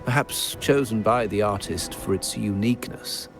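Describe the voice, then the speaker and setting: A man playing a cultured, solemn gentleman in a video game, speaking in a reflective, deliberate, philosophical way. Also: fairly low pitch, over music, natural voice. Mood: pensive, solemn. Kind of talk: scripted video game dialogue.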